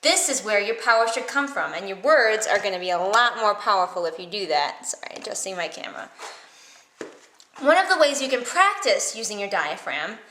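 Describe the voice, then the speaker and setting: A young woman with a nasal Wisconsin accent teaching acting, enunciating clearly and animatedly, recorded on a camera mic in an echoey room. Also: mid-range voice, animated, fairly quick, a bit bright, slight background noise. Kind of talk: enthusiastic how-to vlog. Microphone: camera mic across the room. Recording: roomy echo from far camera.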